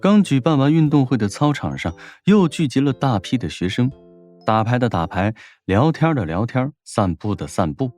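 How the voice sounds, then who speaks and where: A man's voice: medium pitch, sing-song, normal speed, darkish tone, soft background music. A man narrating a scripted audiobook story in a wry storytelling manner.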